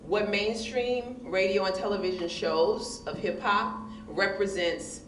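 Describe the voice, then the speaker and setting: A woman's voice: medium pitch, a little slow, slight background noise. Big-voiced woman, distant in an echoey room. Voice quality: big, loud voice. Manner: expressive, laughs often, emphatic. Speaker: panelist, hip hop poet. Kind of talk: panel discussion answer.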